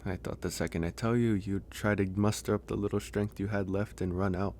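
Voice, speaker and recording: A man playing a gentle, caring werewolf in an intimate ASMR roleplay, speaking softly and hesitantly with pauses. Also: on the low side, fairly even intonation, a little hiss or hum, close to an everyday voice. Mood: hesitant, curious. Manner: soft, hesitant, pausing. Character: gentle, caring young male werewolf, shy and teasing. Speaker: audio roleplay voice actor. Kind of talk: ASMR roleplay, intimate one-sided dialogue.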